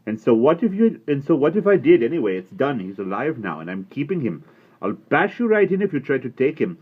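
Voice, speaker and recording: A man voicing a shrill, possessive, hot-headed young boy with a whiny manner. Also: big pitch swings, a little fast, breathy edge. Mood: defiant. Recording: dull lo-fi recording.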